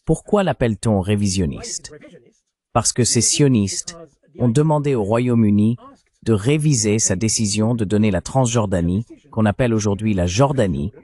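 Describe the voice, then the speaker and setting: A man reading a measured, explanatory French voice-over of an interview. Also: on the low side, darkish tone. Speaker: translation voice-over.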